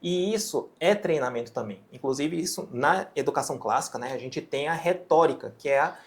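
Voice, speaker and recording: A man from Japan whose first language is Japanese, speaking with a Japanese accent as he explains his teaching ideas in an interview, measured and explanatory. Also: on the high side, animated. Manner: measured, explanatory, often ends phrases with a questioning tag. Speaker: physician and medical educator.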